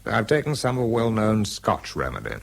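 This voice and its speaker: An actor playing a weary, wry wartime weapons researcher with a British accent, narrating and talking in a dry, understated way. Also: male voice, fairly low pitch, wildly swooping pitch, a little fast, vocal fry, full character voice. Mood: wry, affectionate. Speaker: lead actor and first-person narrator. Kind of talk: radio drama narration and dialogue.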